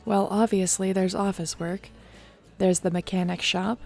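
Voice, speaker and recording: A woman acting in an audio roleplay as a warm young shapeshifter clan leader, talking casually and sincerely. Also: medium pitch, steady tone, normal speed, vocal fry, soft background music. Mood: easygoing, encouraging. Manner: casual, sincere, conversational storytelling.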